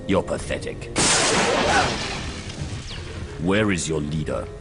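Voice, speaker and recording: An actor playing a cold, imperious Sith lord in a video game, speaking in a curt, authoritative way. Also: male voice, extremely low pitch, takes it slowly, heavily acted. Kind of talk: video game cutscene acting. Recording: music behind.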